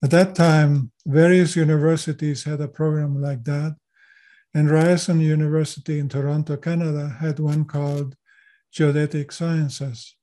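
Older man, native German speaker, mellow voice. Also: on the high side, little pitch movement, normal speed. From Chile. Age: 70s. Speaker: GIS consultant and lecturer, interview guest. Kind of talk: measured interview answers.

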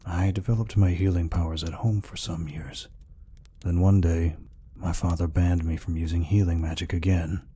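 A man voicing a gentle, peaceful orc healer in an ASMR roleplay, speaking softly and reassuringly without hurry. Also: fairly even intonation, dry recording. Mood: reflective, calm. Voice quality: deep, husky, breathy. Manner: soft, unhurried, reassuring. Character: gentle, peaceful male orc healer, soft-hearted caretaker.

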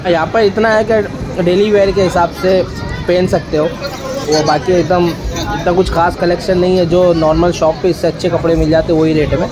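A man's voice: sits high, little pitch movement, moderate pace. Chatty young man vlogging in a mall. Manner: chatty, complaining about crowds and traffic. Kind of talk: casual vlog narration. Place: car in traffic, then crowded shopping mall. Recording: loud crowd and street noise.

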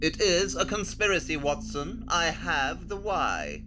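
A man playing an intense, clever detective in an audio drama, speaking with theatrical, deliberate self-assurance. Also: a little high, big pitch swings, over music. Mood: intense, urgent.

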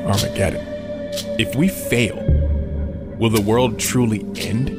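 A man's voice: lowish voice, a little slow. A man playing an earnest, dramatic space fleet commander in a sci-fi radio comedy. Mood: tense, serious. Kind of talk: radio comedy battle narration and dialogue. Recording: echoey, loud music behind.

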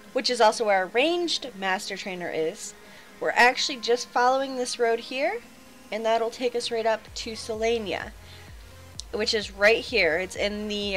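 A young woman hosting a game walkthrough, her voice a touch higher than most and slightly nasal from a head cold. She chats in a bright, upbeat way and laughs easily as she guides along. Soft music sits faintly underneath. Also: low-quality recording. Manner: chatty, laughs often, upbeat. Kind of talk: casual gameplay walkthrough.

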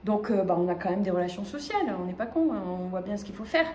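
A woman in her fifties from the Paris region, giving a lively conference talk with many fillers and restarts, gesturing as she speaks. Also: medium pitch, quick pace, a little hiss or hum. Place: small lecture room with projector screen. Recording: echoey room.

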